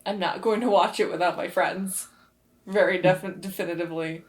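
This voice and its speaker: A woman's voice: fairly low pitch, steady tone, fairly quick. A woman speaking casually as a player in a tabletop game, improvising her character's words and actions. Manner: informal, conversational.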